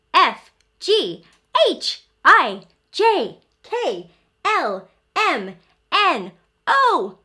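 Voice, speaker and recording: Storybook role: tiny living alphabet letters, childlike and excitable, very high-pitched, exclaiming everything in an exaggerated way played by a woman reader. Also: full character voice. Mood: calling out brightly. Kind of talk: children's storybook read-aloud.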